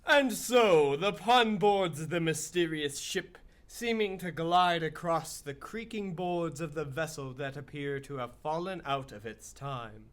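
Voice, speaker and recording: An actor as the dramatic, ominous storyteller of a hero's adventure, in scripted radio drama narration. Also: male voice, a little high, measured pace, few pauses, a bit bright. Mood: suspenseful.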